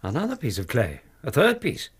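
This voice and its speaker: An actor playing a loyal, earnest, puzzled English gentleman, the detective's companion in a radio drama, with an English accent and a genial, hesitant manner. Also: mid-range voice, huge pitch swings, moderate pace, breathy edge, no echo, full character voice. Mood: curious, hesitant.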